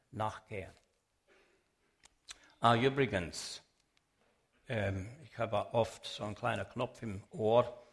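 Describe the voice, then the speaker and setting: Slow-talking man. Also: fairly low pitch. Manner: deliberate, explanatory, addresses audience directly. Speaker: speaker giving an illustrated religious talk. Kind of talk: public lecture with sermon message.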